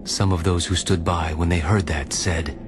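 Very deep-voiced male scripture narrator. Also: fairly even intonation, normal speed. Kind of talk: solemn dramatized scripture narration. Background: music.

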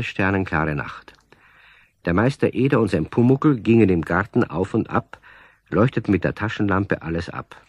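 A man playing the storyteller of a children's radio play, giving measured scripted narration. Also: lowish voice, breathy edge, no room sound. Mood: mysterious.

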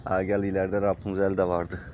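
A man's voice: low-pitched, completely flat delivery, a little fast. A man chatting casually with a young child outdoors, like a father filming, prompting with short questions and urgings. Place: outdoors in a park. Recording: faint outdoor park background noise.

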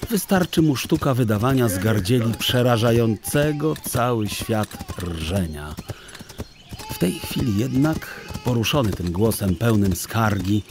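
A man narrating a children's story in a theatrical, deliberate, expressive literary style. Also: medium pitch, background noise. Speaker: audiobook narrator.

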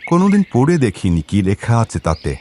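A man's voice: medium pitch, huge pitch swings, fast talker, noisy background. A man playing a worried family man in a horror cartoon. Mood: earnest, explaining. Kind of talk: Bengali horror cartoon voice acting. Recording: music under the voice. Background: prominent music.

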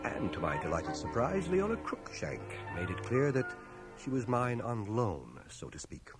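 A man's voice: mid-range voice, very animated, a little slow, loud music behind the voice. A man acting as an earnest, stubborn fellow from a small town, the lead and narrator of a radio drama taped on cassette. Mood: wry, reflective. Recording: 1980 radio drama from home cassette transfer.

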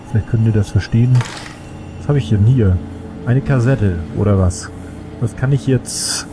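A man who has a cold, chatting casually and thinking aloud as he plays a game. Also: mid-range voice. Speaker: let's play gamer. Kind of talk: casual gameplay commentary.

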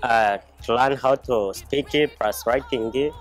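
A young man from Uganda, a new high school graduate, speaking plainly outdoors with "uh" and "like". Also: measured pace, soft background music. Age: young adult. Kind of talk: casual testimonial. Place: outdoors by a house wall.

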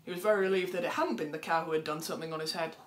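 A young woman reading a children's picture book aloud in an expressive way. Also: sits low, very fast. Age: young adult. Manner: expressive, acts out dialogue. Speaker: storyteller. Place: plain indoor room at home.

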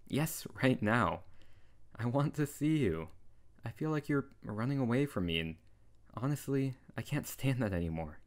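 A man's voice: medium pitch, animated, normal speed, lightly acted. A man playing a young tsundere wizard who is bossy, exasperated and caring, in an intimate ASMR roleplay. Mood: urgent, worried. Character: tsundere young male wizard, scolding but tender best friend. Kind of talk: intimate ASMR audio roleplay addressed to the listener.

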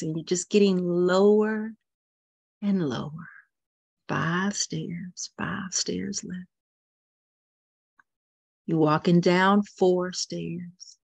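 A woman leading a guided meditation, speaking softly and repeating phrases, often dropping into a whisper. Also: fairly low pitch, gravelly, no echo. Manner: slow soothing guidance with soft whispered repetitions.

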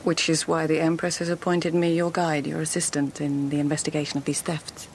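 Low-voiced, poised court lady. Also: completely flat delivery, brisk, a little hiss or hum. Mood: poised, guarded. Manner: formal, poised, direct. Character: composed, shrewd court lady assisting an investigation. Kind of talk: radio drama acting.

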